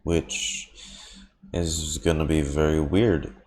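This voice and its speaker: A man's voice: extremely low pitch, little pitch movement, slow, darkish tone. A man giving casual, wry muttered reactions as a game streamer. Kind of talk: casual live reaction commentary.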